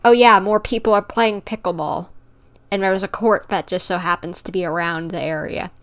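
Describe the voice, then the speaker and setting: A woman chatting casually on a gaming livestream, rambling along with animated griping. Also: fairly low pitch, moderate pace. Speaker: streamer.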